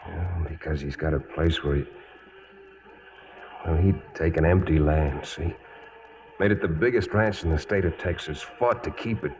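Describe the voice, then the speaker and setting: An actor playing a terse, guarded young cattle drover with an American accent, curt and halting, in 1940s radio style. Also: male voice, very deep voice, a little mellow. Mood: pensive, halting. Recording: muffled, faintly noisy 1940s radio.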